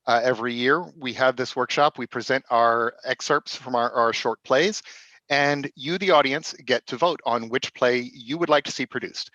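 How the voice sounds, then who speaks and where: Man, bright forceful tone, middle pitch, halting informal explainer, dry and slightly dull call audio. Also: no echo. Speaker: workshop founder and board member.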